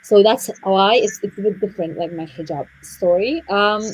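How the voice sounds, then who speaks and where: A woman, telling her personal story as a guest speaker in a halting way, often repeating words. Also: medium pitch, slightly rough, low-quality recording. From Afghanistan and Central Asia. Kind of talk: personal story in a panel interview.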